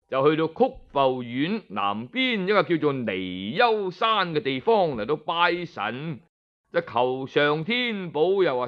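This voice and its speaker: Animated, high-pitched Cantonese male storyteller. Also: lo-fi sound. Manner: conversational, explanatory, with drawn-out phrase-end particles. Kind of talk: Cantonese oral storytelling, scripted yet colloquial narration.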